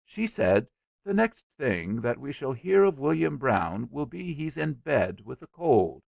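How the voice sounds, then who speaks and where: A man's voice: medium pitch, very animated, unhurried, vocal fry, darkish tone, no echo, highs cut off, voice pushed up, exaggerated voice. A man voicing a tender, naive little girl in a story, asking childlike, earnest questions. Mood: puzzled, blunt.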